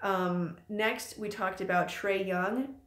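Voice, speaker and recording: A woman's voice: mid-range voice, steady tone, slow pace. A woman in her late twenties hosting her own channel, chatting loosely and rambling with lots of ums in a live stream from a room at home. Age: late 20s. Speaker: channel host. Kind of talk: casual live-stream chat. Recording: echoey room.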